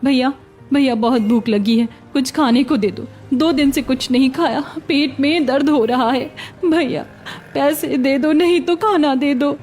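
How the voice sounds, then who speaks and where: A woman voicing a hungry young beggar woman in a cartoon story, plaintive and quick to retort. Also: high-pitched, darkish tone. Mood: pleading. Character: hungry young beggar woman, pleading yet sharp-tongued. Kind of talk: Hindi cartoon story acting.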